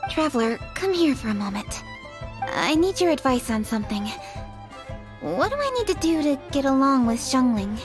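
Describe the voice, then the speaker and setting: A woman's voice: high voice, sing-song, moderate pace. A woman voicing a proud, self-conscious young lady in an anime-style video game, composed but slightly defensive. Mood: hesitant. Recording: music under the voice. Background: game music.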